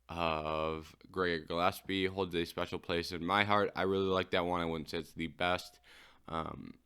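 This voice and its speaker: Low-voiced guy doing a solo fight recap podcast, smooth clear tone, chatty fan energy. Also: pushed and bright. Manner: casual, unscripted recounting of a fight; keen fan, lingering on a knockout moment by moment. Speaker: podcast host.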